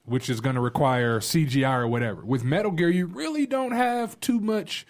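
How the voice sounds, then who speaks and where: A man's voice: a little high, moderate pace. An American man on a podcast, talking informally and arguing his opinions in casual conversation. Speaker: podcast panelist.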